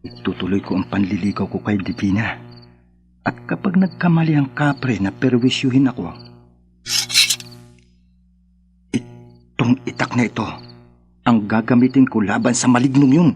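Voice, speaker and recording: An actor playing a determined, defiant young village suitor in a radio drama monologue. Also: male voice, medium pitch, animated, normal speed, darkish tone, faint music behind, lightly acted.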